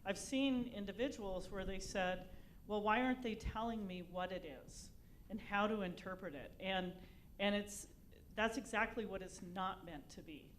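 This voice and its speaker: A woman's voice: mid-range voice, moderate pace. Female university leader on a panel, emphatic and upbeat, faint background hum. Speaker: research vice chancellor on a panel. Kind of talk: unscripted panel remarks.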